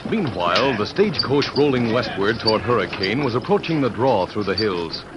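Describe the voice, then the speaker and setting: Casting: male narrator, lowish pitch, animated scripted storytelling for vintage radio adventure, music underneath. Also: brisk. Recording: old radio recording.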